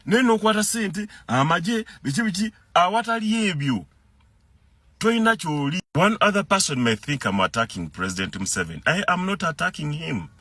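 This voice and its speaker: A man's voice: sits high, a little fast, dry recording. A man with a Ugandan accent delivering an impassioned political rant, repeating and tripping over words as he gets worked up. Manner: animated, repeats words and stumbles when heated. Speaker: concerned citizen commentator.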